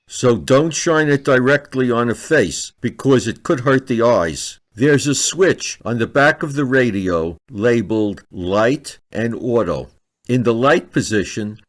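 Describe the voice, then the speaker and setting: Man, mid-pitched, very slow pace, methodical, scripted instructional narration, product reviewer. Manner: methodical, step-by-step delivery.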